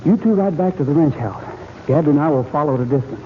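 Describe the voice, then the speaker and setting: An actor playing a confident, decisive young cowboy hero with a Western American accent in an old-time radio drama. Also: male voice, fairly high pitch, lively intonation, quick pace, lightly acted. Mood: resolute. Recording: tinny, hissy old radio recording.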